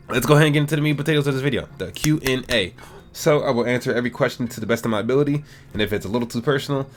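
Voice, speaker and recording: A man in his twenties casually answering viewer questions about a mobile game, rambling and slangy. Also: fairly low pitch. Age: 20s. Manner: laid-back, rambling, slangy. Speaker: gaming YouTuber. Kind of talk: casual Q&A chat to camera.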